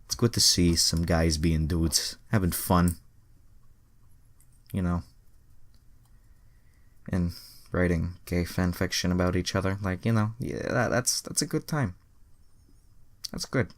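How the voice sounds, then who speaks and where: A man's voice: deep voice, takes it slowly, pauses often, no room sound. A man reading fan fiction aloud, breaking off into exasperated, sweary asides. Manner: exasperated, sweary commentary on the text. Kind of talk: dramatic reading with casual profane asides.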